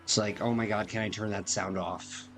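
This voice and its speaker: A man's voice: fairly low pitch, steady tone, moderate pace. A man giving casual game commentary as a streamer, chatty, slangy and laid-back. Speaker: gaming streamer. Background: faint game music.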